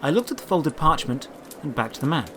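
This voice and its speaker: A man narrating a scripted fantasy adventure in the first person, with deliberate, theatrical storytelling delivery. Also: a little high, very animated, pushed and bright, a little hiss or hum. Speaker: narrator and writer of the series.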